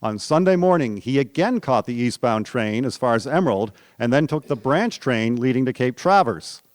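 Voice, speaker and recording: A man's voice: mid-range voice, animated, moderate pace, no echo, clean studio sound. A man narrating a historical radio drama in scripted storytelling style. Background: music.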